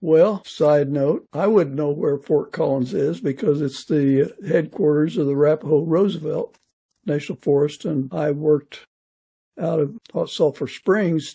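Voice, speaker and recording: A man's voice: fairly high pitch, normal speed, creaky, warm tone, husky. A middle-aged or older man with an American accent, hosting a casual podcast interview.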